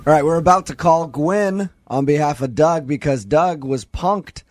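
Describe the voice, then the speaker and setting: A man's voice: a little high, normal speed, no echo, faint music behind. A man with an American accent hosting a morning radio show, bantering in a teasing, jokey way.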